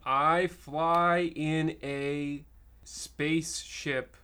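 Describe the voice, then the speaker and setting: A man's voice: on the high side, fairly even intonation, drawn-out words, bright, forceful tone, clean tone, heavily acted. A man playing a self-important, rambling mole king from the clouds in a comic radio play. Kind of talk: comic parody radio drama.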